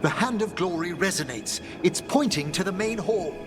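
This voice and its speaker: An older, learned necromancer gentleman in a video game. His delivery is formal, earnest and refined, with very varied pitch. Music plays clearly behind him. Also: expressive, moderate pace. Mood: earnest, resolute. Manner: refined, formal, precise diction.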